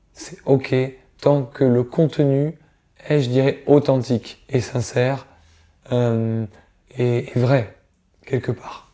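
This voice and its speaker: A middle-aged man, hoarse from illness, giving casual career advice straight to his viewers in a direct, coaxing way. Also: medium pitch, dark tone. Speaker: career coach. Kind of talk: casual advice talk to camera.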